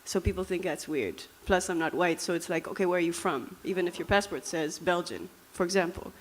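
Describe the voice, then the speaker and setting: A woman's voice: lowish voice, a little fast. A young woman from Namibia with a Dutch accent, giving a reflective, conversational personal talk with frequent fillers. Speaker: speaker.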